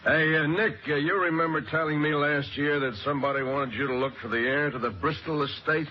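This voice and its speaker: Blunt, higher-voiced American cop played by a man. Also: fairly even intonation, a little fast, close to an everyday voice. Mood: prodding. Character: plain-spoken male homicide police sergeant. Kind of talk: 1940s radio drama acting. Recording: muffled, noisy old radio recording.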